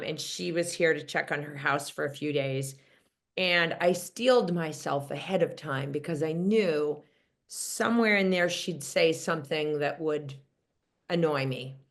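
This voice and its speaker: A woman with a fairly low voice tells a story on a group call. She talks in a chatty, wry way with plenty of fillers. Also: a bit bright. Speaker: caller, teacher. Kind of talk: sharing on a coaching call.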